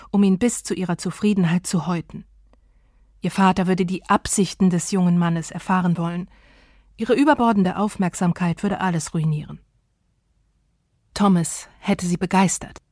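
Calm woman narrating an audiobook. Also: medium pitch, normal speed, no echo, studio quality. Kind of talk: scripted narration.

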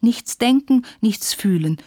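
A woman's voice: medium pitch, animated, a little slow, warm tone, no room sound, clean studio sound. A woman voicing a self-pitying, passionate young war widow in a literary story, plaintive and insistent in manner. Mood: pleading. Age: young adult.

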